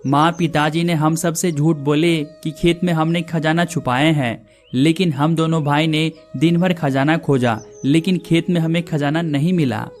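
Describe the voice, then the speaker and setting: Man as idle village son, cartoon story, plaintive, scheming, fairly high voice. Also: steady tone, a little slow, soft background music, lightly acted. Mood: disgruntled. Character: lazy young village brothers, whining and scheming.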